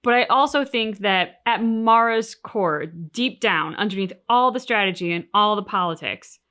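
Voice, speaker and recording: A woman hosting a reaction show, discussing things casually and analytically in measured, articulate phrases. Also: medium pitch, lively intonation, bright, forceful tone. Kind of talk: casual analytical discussion of video game story.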